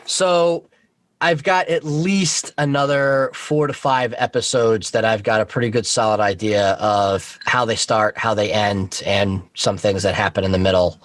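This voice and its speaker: A man speaking as an interview guest, informal and halting as he thinks aloud about his creative work. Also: lowish voice, steady tone, dry recording. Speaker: guest, audio horror series creator. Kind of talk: casual interview talk.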